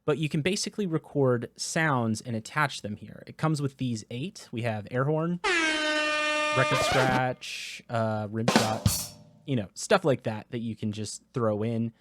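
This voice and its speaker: Casual man demoing audio software. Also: medium pitch, unhurried, over loud music. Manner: casual, explanatory, with filler words. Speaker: tech reviewer. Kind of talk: product review walkthrough. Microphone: dynamic USB microphone, close.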